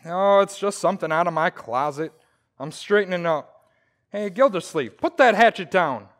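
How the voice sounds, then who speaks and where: Man acting a high-pitched, blustering folksy radio husband. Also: expressive, moderate pace, a bit bright. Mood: grumbling. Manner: exclamatory, folksy, grumbling. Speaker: actor in community theater radio play. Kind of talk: old-time radio comedy acting.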